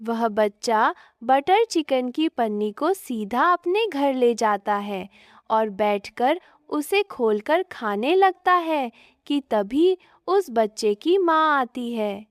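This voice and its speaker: A woman's voice: on the high side, lively intonation, slow, dry recording, clean studio sound. A woman narrating a scripted moral story as its storyteller.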